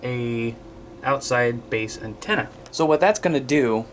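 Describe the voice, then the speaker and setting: A man's voice: medium pitch, animated, a little slow, noisy background. A man talking casually and informally as a video host, showing and explaining equipment to his viewers. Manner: informal, conversational, repeatedly addressing viewers as you guys. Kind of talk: casual instructional talk, demonstrating radio gear.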